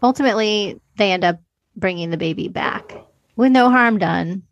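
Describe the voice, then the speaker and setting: A woman's voice: medium pitch, moderate pace, creaky, dull audio. A woman co-hosting a podcast, discussing films casually in an informal, hedging, conversational way. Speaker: podcast co-host.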